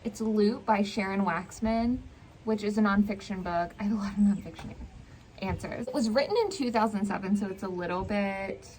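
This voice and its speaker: A young woman talks casually about books with some noise around her. Her pitch is average and her delivery is informal and conversational, with plenty of hedging. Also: moderate pace, darkish tone, low-quality recording. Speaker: book vlogger. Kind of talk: casual book chat. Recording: clear background noise.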